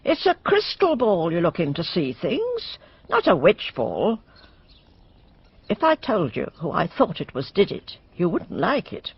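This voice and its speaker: A woman's voice: on the low side, huge pitch swings, unhurried, creaky, husky, muffled recording, full character voice. An actress playing a suspicious, gossipy village woman in a radio drama, blunt and full of knowing hints. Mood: knowing, ominous.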